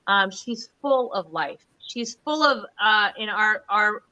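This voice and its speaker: A woman's voice: medium pitch, slow pace, sharp tone, dull audio. A woman hosting a casual online show over a video call, chatty and gushing as she introduces her guest. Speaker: host.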